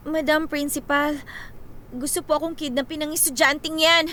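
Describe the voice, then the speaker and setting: A woman acting a spirited, feisty young female student in a Filipino radio drama, earnest and expressive. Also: sits high, moderate pace, noisy background, lightly acted. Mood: distressed.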